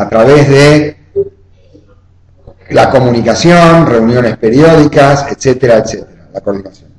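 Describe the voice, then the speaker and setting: A man's voice: mid-range voice, a little mellow. Man lecturing in a roomy echo. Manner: explanatory, often adds "o sea" and "¿eh?". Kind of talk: online class lecture.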